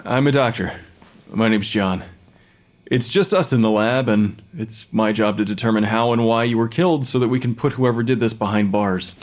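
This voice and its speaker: A man's voice: fairly low pitch, normal speed, close to an everyday voice. An actor playing a blunt young male pathologist in an audio drama, speaking in a matter-of-fact, clinical way. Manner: clinical, matter-of-fact, dictating notes formally. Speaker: actor in fiction podcast.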